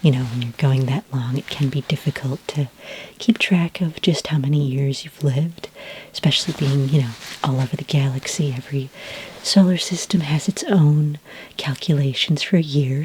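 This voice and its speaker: A woman playing a patient, reassuring sci-fi skincare consultant in a soft-spoken, half-whispered ASMR roleplay, gentle and a little hesitant. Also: low voice, slight background noise, close to an everyday voice, poor audio. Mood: gentle, inquisitive. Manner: gentle, hesitant, unhurried, polite questioning.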